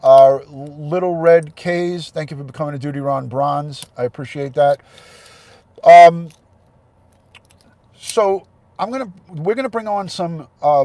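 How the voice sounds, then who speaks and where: A man's voice: a little high, mellow, no echo. A man hosting a casual livestream, congested with a head cold, chatting and thanking viewers by name. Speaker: livestream host.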